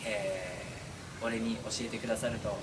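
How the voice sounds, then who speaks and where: A man speaking as a streamer and voice actor, chatting casually and earnestly to his fans in an intimate, pausing way. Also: fairly low pitch, little pitch movement. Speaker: voice actor and streamer addressing listeners. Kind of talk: casual, heartfelt chat to fans. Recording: distant mic, clearly noisy background.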